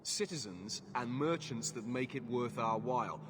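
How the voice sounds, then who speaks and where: A man's voice: medium pitch, expressive, moderate pace, natural voice. Man as a curt guard captain, long echo. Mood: curt, exasperated. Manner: curt, world-weary, dismissive. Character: stern, exasperated guard captain, jaded and unyielding. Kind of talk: video game voice acting. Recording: long hall echo, faint ambient noise.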